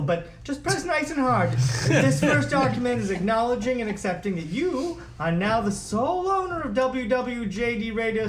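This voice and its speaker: A woman's voice: fairly high pitch, roomy sound, a little hiss or hum, close to an everyday voice. Woman doing a scatty, swooping small-town lawyer. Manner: sing-song, scat-like, rambling. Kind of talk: script table read of a comedy.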